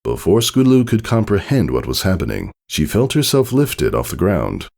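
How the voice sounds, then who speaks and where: A man's voice: low voice, wildly swooping pitch, moderate pace, gravelly, a little mellow. A man reading scripted storybook narration as the narrator of a piece of fiction.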